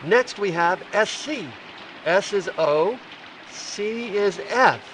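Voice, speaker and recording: Male actor as keen, fussy young-hero's-helper type, old-time radio. High, lively voice, a bit off-mic. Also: takes it slowly, recorded from a distance, a little hiss or hum, heavily acted, rough recording. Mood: eager, precise. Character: eager, precise male helper who decodes secret messages. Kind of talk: 1940s radio acting.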